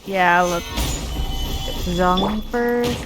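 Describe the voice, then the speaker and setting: A woman with a medium-pitched voice commentates casually on a game. Her delivery is that of a gamer chatting while she plays. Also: very slow, music in the background.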